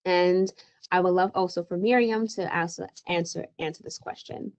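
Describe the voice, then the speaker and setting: A woman moderating a panel discussion, thanking speakers and passing the turn in a quick, appreciative way. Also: lowish voice, steady tone, darkish tone. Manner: quick, appreciative, hands off turns.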